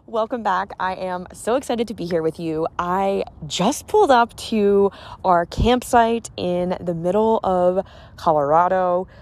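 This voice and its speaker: She is an American woman with a slightly low-set voice for a woman. She talks like a podcast host and coach in the middle of a pep talk to her listeners. Her delivery is gushing and emphatic, informal, and peppered with casual emphasis and mild swearing. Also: a little slow, a little mellow. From Boston area, eastern United States.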